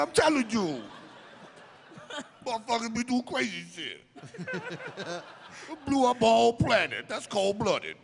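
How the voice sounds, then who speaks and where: A man voicing a wacky, streetwise old Jedi mentor in a slangy, drawn-out way at a live comic table read. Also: extremely high pitch, vocal fry, a bit bright, full character voice. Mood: indignant. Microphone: table microphone. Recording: live panel microphone in large room.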